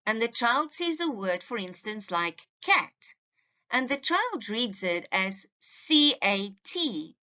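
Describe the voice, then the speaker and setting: A woman's voice: fairly high pitch, very animated, slow, bright, forceful tone, muffled recording. A woman presenting an instructional slide lecture to parents like a teacher, speaking emphatically and directly to her listeners.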